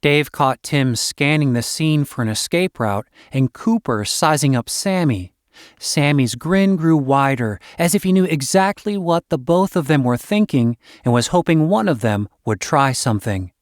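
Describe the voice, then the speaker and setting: A man's voice: a little high, lively intonation, moderate pace, dry recording, studio quality. A man reading a fantasy story as scripted narration, as an audiobook reader.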